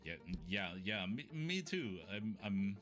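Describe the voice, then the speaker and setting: A man with a German accent co-hosting a podcast in casual chat, often pausing to search for words. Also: fairly low pitch, big pitch swings, a bit bright, soft background music. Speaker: podcast co-host.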